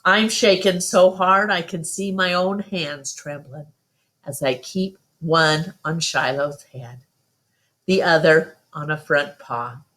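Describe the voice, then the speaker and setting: A woman's voice: low voice, slow pace, a bit bright. A woman storyteller reading a first-person children's novel aloud as narration.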